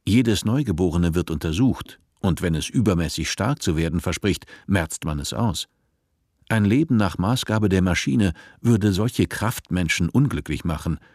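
Male radio drama narrator, deep bass register, matter-of-fact, scripted, dry studio sound. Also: animated, darkish tone, dry recording. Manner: detached, measured, matter-of-fact. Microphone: close studio microphone.

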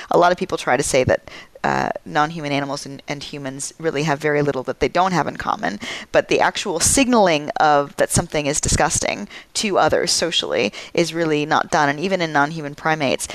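A woman's voice: deep voice, rough recording. A woman being interviewed as a guest on a podcast, explaining her research in a thoughtful, articulate way. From United States.

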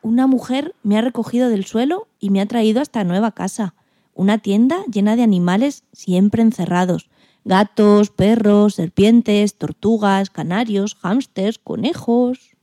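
A woman's voice: medium pitch, measured pace, no room sound, studio quality, lightly acted. A woman playing an indignant, timid male guinea pig who tells his story expressively in a children's radio play. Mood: grumbling. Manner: expressive, exclamatory, plaintive.

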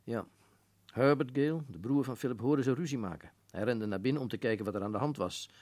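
A man's voice: mid-range voice, brisk, a little mellow, natural voice. A man playing an irritable, stubborn colonel who runs a prison, in a Dutch radio drama, speaking emphatically and dismissively. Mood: matter-of-fact. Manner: emphatic, dismissive, repeats words insistently. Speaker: actor in a radio play.

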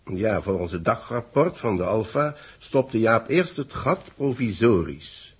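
An actor playing a knowing, measured male newspaper reporter in a 1960s Dutch radio drama, with a gravelly, husky voice. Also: on the low side, big pitch swings, full character voice. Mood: explaining, grave.

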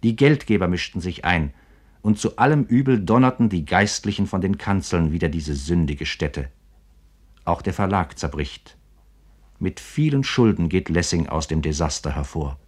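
Deep-voiced male literary narrator. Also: animated, moderate pace, husky. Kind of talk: scripted literary commentary, German radio feature.

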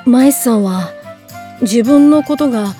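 High youthful boy's voice, gentle, modest, polite swordsman, game drama acting, music behind. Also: animated, measured pace. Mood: confessional.

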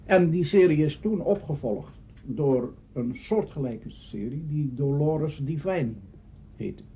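An older man reminiscing, halting as he searches his memory. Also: a little high, expressive, mellow, slightly rough. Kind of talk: reminiscing talk. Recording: dull, faintly noisy archive recording.

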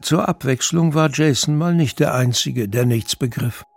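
A man's voice: mid-range voice, normal speed, gravelly, dry recording. A man reading dramatic scripted narration for a thriller audio drama. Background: music.

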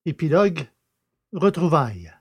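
A man's voice: a little high, big pitch swings, unhurried, husky. A man reading scripted narration for an audio drama.

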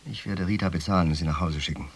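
Radio drama: enigmatic armed rich man, low register, clipped formal menace, slight background noise. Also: very fast. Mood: needling. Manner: cool, formal, cryptic, clipped answers. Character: rich, enigmatic armed host toying with his captive guest. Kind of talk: German radio drama acting.

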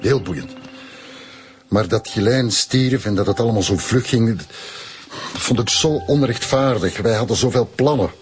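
An actor with a Flemish accent playing an earnest, stubborn man in his sixties in a radio drama, explaining himself in a measured way. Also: medium pitch, slight background noise, close to an everyday voice. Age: 60s. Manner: measured, explanatory, self-justifying. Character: stubborn, earnest retiree and aspiring historical writer.

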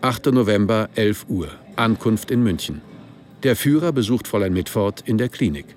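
A man's voice: on the low side, lively intonation, normal speed, a little mellow. A man reading scripted radio drama announcements and credits in a formal way. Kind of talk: scripted narration.

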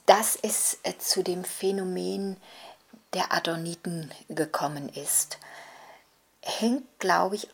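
Female interviewee, reflective and articulate, halting delivery, slow pace, breathy-rough tone. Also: sits low, fairly bright tone. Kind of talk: reflective spoken commentary in a documentary.

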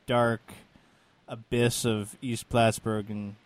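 A man's voice: medium pitch, little pitch movement, slow, dry recording. A man hosting a radio show, chatting casually with a caller and laughing often. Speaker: radio host.

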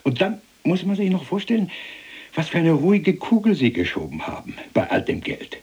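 An actor playing a sardonic, plain-spoken middle-aged German prisoner in a radio play, with a husky voice and mocking, colloquial emphasis. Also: male voice, high-pitched, huge pitch swings, moderate pace, darkish tone, exaggerated voice. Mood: bitter sarcasm.

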